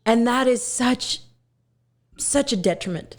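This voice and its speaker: A woman in her twenties from Texas, a singer talking as a podcast guest in an earnest way, often pausing with fillers as she searches for words. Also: on the high side. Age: 20s. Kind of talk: casual podcast conversation.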